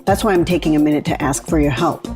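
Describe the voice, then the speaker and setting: Lowish-voiced woman making appeal over music. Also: fast. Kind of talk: scripted donation appeal.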